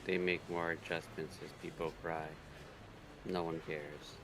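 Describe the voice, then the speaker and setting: A man's voice: rumbling low, little pitch movement, unhurried, background noise. A man co-hosting a livestream, trading casual banter and reading game text aloud. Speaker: co-host of a visual novel readthrough. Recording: music playing behind the voice. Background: game music.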